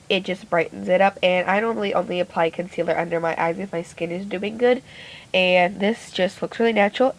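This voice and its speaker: Brief: woman with a raspy voice, casual and rambly beauty vlogger, phone mic. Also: mid-range voice, a little hiss or hum, rough recording. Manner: chatty, rambling, self-correcting. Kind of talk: casual makeup tutorial narration. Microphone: phone camera.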